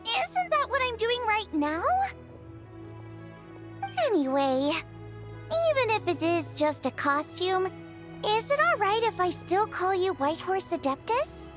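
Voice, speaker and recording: A woman's voice: very high-pitched, very animated, takes it slowly, pauses often, music in the background, full character voice. A woman voicing a friendly, earnest young girl cook in a video game, youthful and informal. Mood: curious. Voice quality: youthful, girlish.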